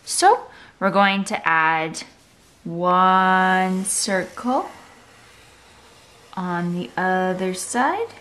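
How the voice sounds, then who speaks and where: A woman's voice: fairly low pitch, drawn-out words, long pauses, fairly bright tone. A woman art teacher giving a step-by-step drawing tutorial for children, in simple, encouraging instructional phrases.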